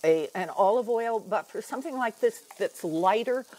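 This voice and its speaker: A woman's voice: mid-range voice, lively intonation, normal speed, a little mellow. Older lady cooking on morning tv, talks as she goes, um-heavy, clip-on mic. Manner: chatty, explains while cooking, many ums. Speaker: guest cook and speaker. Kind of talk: cooking demonstration chat. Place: TV studio kitchen.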